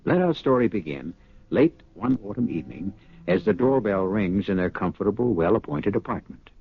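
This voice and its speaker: A man with a deep, gravelly voice hosting and narrating a 1970s radio drama in a wry, deliberate, theatrical way. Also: lively intonation. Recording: muffled 1970s radio recording.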